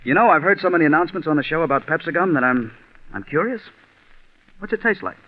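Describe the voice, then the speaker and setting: An actor playing a bitter, murder-minded scriptwriter in a 1940s radio drama, speaking intensely. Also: male voice, a little high, lively intonation, fast talker, natural voice. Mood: casually probing. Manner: intense, brooding, stammers when agitated. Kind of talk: 1940s radio drama acting and first-person narration. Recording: tinny 1940s radio recording with music.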